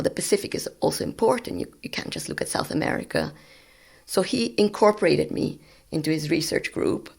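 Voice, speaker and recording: A woman in her sixties whose first language is Spanish, a guest scientist telling stories of her work in a relaxed, animated way. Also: low-pitched, vocal fry, breathy edge. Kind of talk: conversational storytelling.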